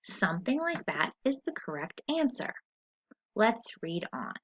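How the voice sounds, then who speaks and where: A woman teacher reading a story aloud in an expressive, deliberate, instructional way, as for a lesson with questions. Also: medium pitch, slightly rough. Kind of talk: scripted story read-aloud with comprehension questions. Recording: dull lo-fi recording.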